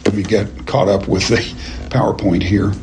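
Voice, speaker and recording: A man teaching a Bible class verse by verse, speaking in a deliberate, halting and emphatic way and putting questions to his listeners. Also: fairly low pitch, level tone, noisy background. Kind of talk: expository lecture to a class, verse by verse.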